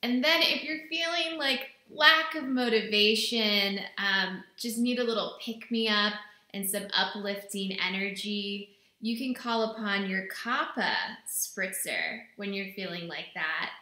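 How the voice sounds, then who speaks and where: Slow bright-voiced woman in echoey room. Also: medium pitch. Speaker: brand representative presenting products. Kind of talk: product presentation to camera. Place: small indoor room with bare walls.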